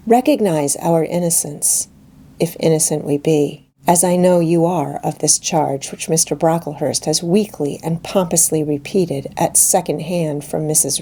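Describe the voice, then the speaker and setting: A woman voicing a calm, pious schoolgirl friend in a storybook reading, measured, earnest and gently reproving. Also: lowish voice. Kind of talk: dramatised audiobook reading.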